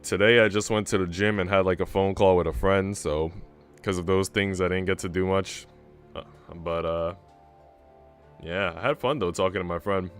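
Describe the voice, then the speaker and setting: A man's voice: low voice, steady tone, normal speed, clean tone. A man livestreaming in casual chat, talking in a slangy, profane, bro-ish way. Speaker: streamer. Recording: music behind the voice.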